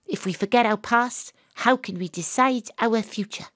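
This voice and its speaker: An actress playing a sympathetic, self-mocking private detective, narrating an audio drama in a theatrical, wry manner. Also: female voice, fairly high pitch, moderate pace, a bit bright, close to an everyday voice. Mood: atmospheric, florid. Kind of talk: first-person audio drama narration and dialogue.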